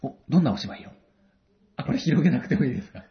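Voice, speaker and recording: A man's voice: fairly high pitch, very animated, rapid-fire. A man hosting a casual chat, agreeing and playing along with short, bantering replies. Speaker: host. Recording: slightly dull, lo-fi audio.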